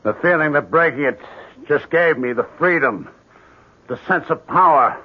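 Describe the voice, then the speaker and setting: A jealous man coming unraveled, menacing and sure everyone is against him. His voice sits a little high and husky, brooding and accusatory. It comes through as a dull, narrow 1970s radio drama recording. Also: lively intonation, normal speed.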